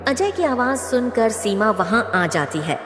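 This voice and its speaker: A woman's voice: a little high, big pitch swings, measured pace, a bit bright, faint music behind. A woman narrating a scripted cartoon moral story as its storyteller. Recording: echoey, long reverb.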